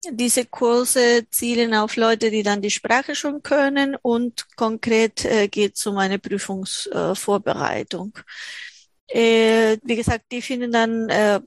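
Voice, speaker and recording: A middle-aged woman with an Argentine Spanish accent speaks in a fairly high voice at a slow pace. She answers as an interview guest, halting often to find her words. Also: no room sound. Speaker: interview guest, language teacher.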